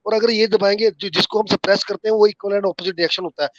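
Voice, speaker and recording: Punjabi indian guy on a call, kinda high voice, respectful political chat, lo-fi sound. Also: steady tone, a little fast, fairly bright tone, no echo. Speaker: caller. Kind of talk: casual political discussion.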